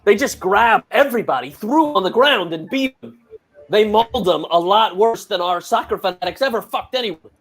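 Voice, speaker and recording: A man's voice: high voice, animated, normal speed. An actor playing a rowdy male football fan who narrates coarsely and boastfully. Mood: grim.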